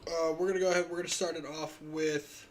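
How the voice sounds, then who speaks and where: A man's voice: on the high side, flat delivery, quick pace, crowd noise. Young-sounding man hosting a loose podcast. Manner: loose, joking segue-style hosting. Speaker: podcast host. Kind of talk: casual podcast chat.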